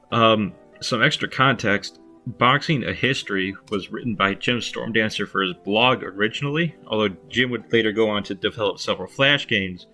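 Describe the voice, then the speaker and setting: A man's voice: on the low side, bright, forceful tone. A man giving informal, unpolished spoken commentary as a video creator, in a self-deprecating way. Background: faint music.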